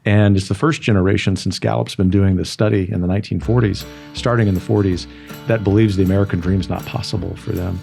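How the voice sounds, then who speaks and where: A middle-aged man hosting an interview show, speaking in a measured, persuasive way and often repeating a word before going on. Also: low voice, steady tone, creaky, warm tone, husky, faint music behind. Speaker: talk show host. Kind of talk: interview conversation.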